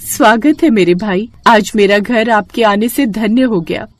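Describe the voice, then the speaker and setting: A woman voicing a gentle, loving goddess and devoted sister, with formal, affectionate speech, in an animated mythological story. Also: mid-range voice, unhurried, natural voice.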